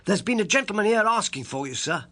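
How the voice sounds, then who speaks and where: A man's voice: high-pitched, big pitch swings, fast talker, bright, forceful tone, pitched above the performer's own voice, full character voice. A man voicing an eager, deferential young working-class page boy in an audiobook, with colloquial servant speech. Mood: eager, agitated. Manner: colloquial servant speech, addresses listener as sir. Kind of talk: acted audiobook character.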